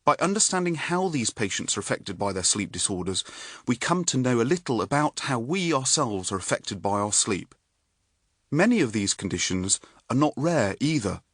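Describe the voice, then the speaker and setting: A middle-aged British man reads his own nonfiction audiobook in a measured, articulate storytelling manner. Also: medium pitch, big pitch swings, slightly rough, no room sound, studio quality. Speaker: author and neurologist reading own audiobook. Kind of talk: scripted audiobook narration.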